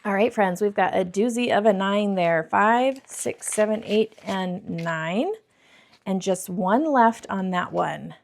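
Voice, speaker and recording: Bubbly woman with a croaky throat. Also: mid-range voice, slow pace. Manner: bubbly, addresses viewers as friends, counts money aloud. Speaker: budgeting vlogger. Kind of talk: casual chatty vlog, counting cash.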